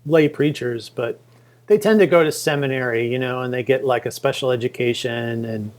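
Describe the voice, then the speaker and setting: A middle-aged man from the Chicago suburbs, a podcast guest, talking casually and haltingly with many fillers and restarts. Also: medium pitch. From Chicago suburbs, Illinois. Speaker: podcast guest, comics creator. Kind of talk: casual interview talk.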